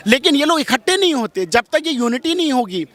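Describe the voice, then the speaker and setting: A man with a very high, piercing voice gives impassioned interview answers. He is strident and combative, pressing his points with rhetorical questions in a bright, forceful tone. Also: very animated, fairly quick, no echo.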